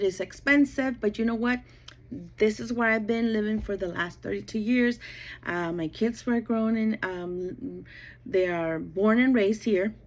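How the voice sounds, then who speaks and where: Middle-aged woman from El Paso, bilingual Spanish-English, mid-range voice, informal and rambling online cooking host talking to viewers. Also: mid-range voice. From El Paso, Texas. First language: bilingual Spanish and English from childhood. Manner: chatty, rambling, often checking in with "okay" and "you know". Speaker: YouTube cooking channel host. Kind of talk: casual self-introduction to viewers.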